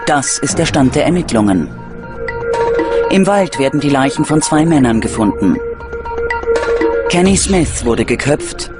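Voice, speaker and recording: A man delivering scripted true-crime documentary narration in a dramatic, measured, ominous manner. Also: mid-range voice, very animated. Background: background music.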